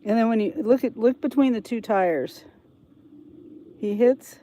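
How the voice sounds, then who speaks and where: A woman's voice: fairly high pitch, a little slow, warm tone, a little hiss or hum. An older woman vlogger with a congested head cold, chatting in a rambling, thinking-aloud way and often restarting her sentences. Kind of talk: casual rambling chat to camera.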